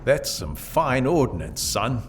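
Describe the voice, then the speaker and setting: A man playing a gruff, laconic gunslinger mercenary with a drawling delivery in an audio drama. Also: medium pitch, big pitch swings, slow pace, background noise. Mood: stoic. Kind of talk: sci-fi western audio drama dialogue.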